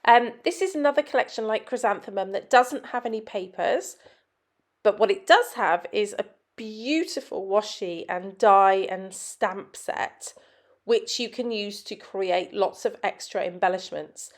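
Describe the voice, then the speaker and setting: A woman talks through her crafting process as a voice-over, her voice a little nasal because she is getting over a head cold. She explains each step in a chatty, easygoing way, with plenty of ums, at a middling pitch. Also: unhurried. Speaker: scrapbook designer. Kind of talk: voice-over tutorial narration.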